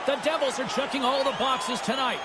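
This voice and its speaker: A man voicing a hockey play-by-play announcer, calling the game in an excitable commentary style. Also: extremely high pitch, a little fast, heavy background noise. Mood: measured. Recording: loud arena crowd and music behind. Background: crowd, arena music, game sounds.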